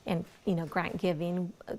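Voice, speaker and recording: A Mexican-born woman in her thirties, whose first language is Spanish, speaks with a Mexican accent and a somewhat low voice. She answers interview questions in a halting, searching way, filling gaps with soft agreement. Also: flat delivery. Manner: halting, with frequent "mm-hmm" backchannels. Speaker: guest. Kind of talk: interview conversation.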